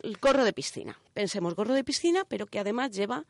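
Female radio presenter, Spain accent, mid-pitched, colloquial explainer who keeps checking in, dry studio-style sound. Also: animated, fast, dry recording. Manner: colloquial, checks in with listeners using short tag questions. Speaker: radio show presenter of a science segment. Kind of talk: casual explanatory radio chat.

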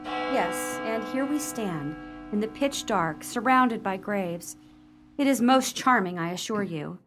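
A woman plays an arch, sceptical gentlewoman in a radio drama. Her mid-range voice is formal and genteel, delivering lines with dry wit. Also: medium pitch, animated, slow, soft background music. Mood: dryly sarcastic. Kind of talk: radio play acting.

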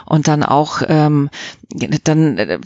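A woman's voice: low voice, lively intonation, slow, darkish tone, no room sound. Enthusiastic woman, slightly dull recording. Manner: enthusiastic, emphatic. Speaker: interview guest, audio drama editor. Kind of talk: casual interview conversation.

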